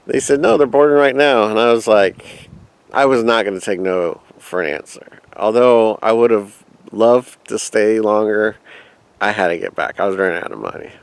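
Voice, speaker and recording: A man's voice: fairly low pitch, normal speed, vocal fry, clean tone, no room sound. A man casually telling a rambling personal travel story, reminiscing and quoting his own past thoughts. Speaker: vlogger.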